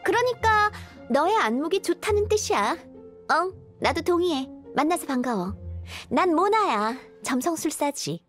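A woman's voice: very high-pitched, big pitch swings, a little slow, fairly bright tone, full character voice. A woman voicing a proud, self-assured young astrologer in an anime game, plain-spoken. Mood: composed. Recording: music behind the voice.

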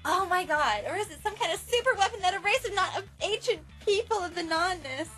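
Very high, bright teenage anime schoolgirl played by a woman. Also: lively intonation, fairly quick, soft background music. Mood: exasperated. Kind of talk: anime fan dub outtakes.

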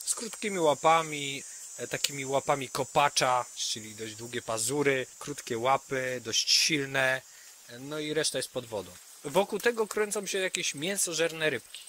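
A man's voice: medium pitch, normal speed, sharp tone, slight background noise. A man narrating an improvised tabletop game as game master, speaking to players directly in a conversational way.

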